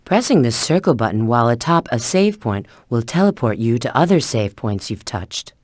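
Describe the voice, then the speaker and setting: A self-assured female field agent from a video game. Her pitch moves around in a lively way as she briefs an ally with clipped, instructive tips. The recording is dry, with no room sound. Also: moderate pace, dry recording, exaggerated voice. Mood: instructive, confident. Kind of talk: video game tutorial and mission dialogue.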